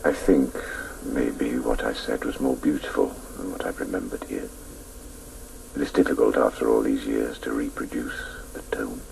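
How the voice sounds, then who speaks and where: A wistful narrator looking back on boyhood in a radio drama. His voice is extremely low and heavily creaky, and he takes his time. It sounds a little distant, with clear tape noise. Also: normal speed, darkish tone, exaggerated voice. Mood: wistful, sorrowful. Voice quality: very deep, gravelly. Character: grown man wistfully recalling his boyhood grief. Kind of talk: reflective radio play narration.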